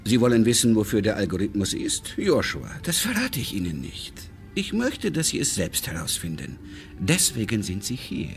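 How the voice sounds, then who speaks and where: Courteous shady businessman, low voice, wildly expressive intonation, theatrical, veiled menace, crime radio play, music behind. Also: moderate pace, gravelly, heavily acted. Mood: smug, probing. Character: suave, shady, fatherly rich businessman, controlling and courteous. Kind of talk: radio crime drama acting.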